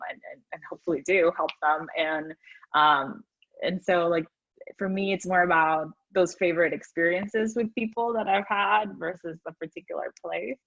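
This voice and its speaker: Woman, low dull call audio. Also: fairly bright tone, no room sound. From Peru. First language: Spanish. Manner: thoughtful, many fillers. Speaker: design speaker. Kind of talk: casual panel chat. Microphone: video call.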